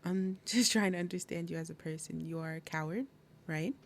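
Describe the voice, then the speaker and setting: A woman voicing a big, possessive demon mother in an ASMR roleplay, falsely sweet and threatening, speaking deliberately and dropping into breathy murmurs. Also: on the low side, slight background noise, close to an everyday voice. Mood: taunting, menacing. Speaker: roleplay audio performer. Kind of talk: ASMR roleplay, acted monologue.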